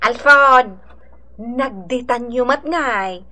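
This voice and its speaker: A woman's voice: a little high, drawn-out words, bright, forceful tone, heavily acted. A woman playing a nosy, teasing gossip companion with an Ilocano accent, exclaiming her way through a radio drama. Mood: teasing, gossipy.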